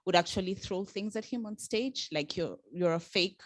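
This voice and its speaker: A woman's voice: mid-range voice, moderate pace, no room sound. A woman from Kenya giving a spontaneous, earnest comment from the audience, explaining her point as she goes.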